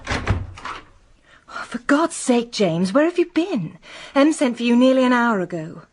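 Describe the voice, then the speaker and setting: An actress in a radio drama playing an exasperated secretary, brisk, scolding and fussing with care. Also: female voice, on the high side, big pitch swings, husky, heavily acted. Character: exasperated, bossy, caring female secretary.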